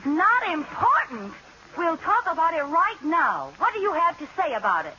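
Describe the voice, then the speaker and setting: A high-voiced, flighty wife from a vintage radio sitcom. She delivers everything with theatrical exaggeration. The old broadcast is muffled, with clear hiss behind her. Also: big pitch swings, normal speed, pushed and bright, full character voice. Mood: outraged. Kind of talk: 1940s-50s radio comedy acting.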